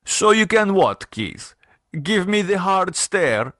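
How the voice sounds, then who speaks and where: Guy doing a smug gangster, gloating, slow and dry. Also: high voice, very animated, fairly bright tone, no room sound, pitched above the performer's own voice, heavily acted. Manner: gloating, mocking. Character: smug menacing gangster in a suit.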